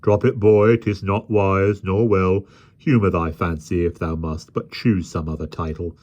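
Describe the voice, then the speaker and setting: Male reader as a burly gang chief, firm, good-natured, old-fashioned rough speech, storybook character. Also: lowish voice, expressive, unhurried, highs cut off. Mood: firm, kindly.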